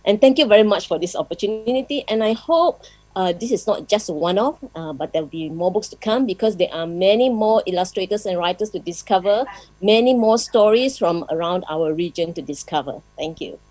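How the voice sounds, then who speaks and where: A woman with a Malaysian accent giving an informal speech as a publisher, conversational and pausing as she goes. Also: medium pitch, darkish tone, no echo, rough recording. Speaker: publisher and guest speaker.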